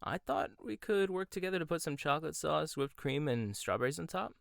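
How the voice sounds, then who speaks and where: A man performing a gentle, intimate ASMR roleplay monologue, hesitant at times and teasing at others. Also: fairly high pitch, animated, gravelly, no room sound, studio quality.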